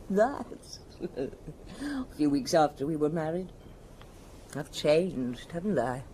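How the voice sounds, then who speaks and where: An actress playing a bitter, hard-drinking wife in an audio drama, languid, sardonic and evasive. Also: female voice, sits low, sing-song, takes it slowly, dark tone, a little hiss or hum, heavily acted. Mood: wistful, evasive. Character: bitter, indifferent hard-drinking wife of missing rich husband.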